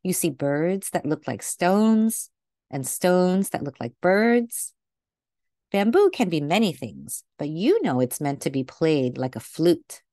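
A woman reading a picture book aloud to children in a gentle, deliberate way, recorded indoors over a video call. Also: fairly low pitch, very animated, no echo, clean studio sound. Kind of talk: read-aloud storytelling for children.

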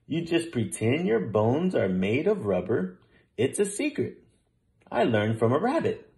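An easygoing, helpful talking bear from a picture book, acted out by a man reading aloud. The delivery is upbeat and casual, with pitch that swings about freely. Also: big pitch swings, unhurried, a little mellow. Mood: breezy, helpful. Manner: casual, upbeat, storybook dialogue. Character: friendly, easygoing talking bear, eager to help.

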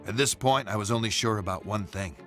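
An actor playing a weary, dogged American police detective in a video game, matter-of-fact and noir in style. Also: male voice, lowish voice, brisk, over music, close to an everyday voice. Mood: brooding. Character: weary, dogged male police detective investigating strange deaths. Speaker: detective character and narrator. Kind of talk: noir game voice acting and scripted narration.

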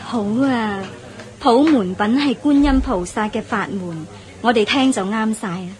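An eager, devout female temple-goer played by an actress in a radio play. She speaks admiringly in a fairly high voice. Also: unhurried, a little mellow, close to an everyday voice. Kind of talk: radio drama dialogue.

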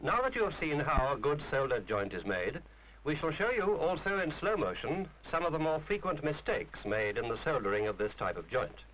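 A man's voice: a little high, sing-song, moderate pace, a bit bright, slight background noise, poor audio. A man reading a scripted instructional film narration in a formal, precise, didactic manner.

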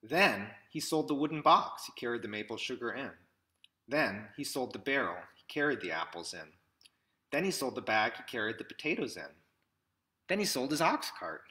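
A man in his thirties reads a storybook aloud in measured short phrases with pauses, recorded indoors at arm's length from the mic. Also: medium pitch, big pitch swings, pushed and bright. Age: 30s. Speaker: picture book reader. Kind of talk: read-aloud storybook narration. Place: small indoor room with classroom wall displays.

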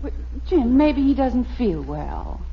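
High-voiced fifties radio sitcom mom. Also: female voice, sing-song, slow, slight background noise, heavily acted. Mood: concerned. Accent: American. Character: suburban wife and mother, gently needling, concerned. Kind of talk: 1950s American radio comedy acting. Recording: muffled old radio broadcast.

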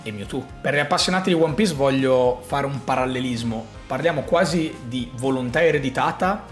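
A man gives an animated, emphatic explainer monologue in a conversational storytelling way. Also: mid-range voice, fairly quick, reverberant, faint music behind. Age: 30s. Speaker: video host and commentator. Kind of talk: enthusiastic explainer monologue to camera. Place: small furnished room at home, indoors.

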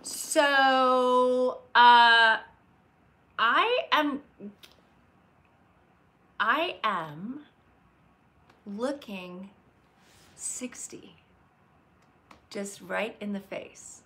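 Casting: woman, late fifties, chatty livestream host, unhurried delivery, slightly high voice. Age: 50s. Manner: chatty, warm greetings to viewers by name, candid. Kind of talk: casual live chat greeting viewers. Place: home room with leather chair by window. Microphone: webcam at desk.

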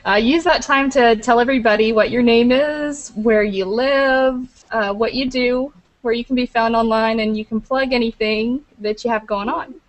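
A woman, co-hosting a webcam panel and introducing guests in a brisk, upbeat way. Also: a little high, steady tone, lo-fi sound. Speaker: co-host. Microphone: webcam video call.

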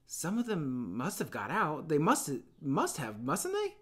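Storybook role: nervous, chatty schoolboy castaway played by a man, pleading and lively in pitch. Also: unhurried, husky, heavily acted. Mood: anxious.

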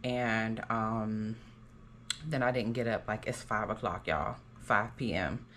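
A woman's voice: extremely low pitch, steady tone, takes it slowly, a bit bright, slight background noise. A young woman from the United States talking casually to her phone camera for a vlog, chatty and upbeat, with lots of "like" and "um" fillers. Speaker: vlogger.

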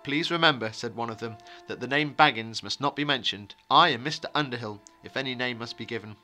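A man voicing a calm, secretive and wary wandering stranger, with a brisk, commanding and ominous way of talking, as in a fantasy storybook. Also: medium pitch, faint music behind. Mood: measured, amused.

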